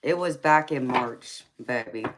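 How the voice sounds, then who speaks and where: Very low-voiced, slow woman. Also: background noise. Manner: relaxed, chatty, laughs often, calls viewers honey and baby. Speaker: tarot reader chatting with live viewers. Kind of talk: casual live-stream chat reading comments.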